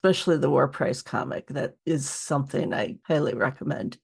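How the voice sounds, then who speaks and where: Low-pitched, creaky woman podcast host. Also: fairly quick. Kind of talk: casual interview hosting.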